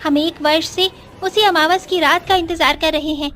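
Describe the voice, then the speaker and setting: Very high, bright young ghost girl played by a woman. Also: steady tone, moderate pace, slight background noise. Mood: pleading, earnest. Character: young ghost nomad girl, earnest daughter. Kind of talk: dramatised children's story. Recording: music under the voice. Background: music.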